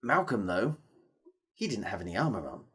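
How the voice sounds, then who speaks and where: A storyteller telling a tale to children in a theatrical manner, with dramatic pauses and questions to the listeners. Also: low-pitched, sing-song, a bit bright, husky.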